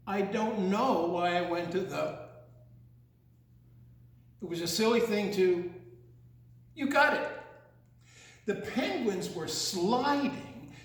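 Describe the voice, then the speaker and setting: Slow, high-pitched male storyteller in echoey room. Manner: theatrical, singsong, drawn-out delivery with teasing questions. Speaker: children's storyteller. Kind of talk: read-aloud picture-book story with playful asides to young listeners.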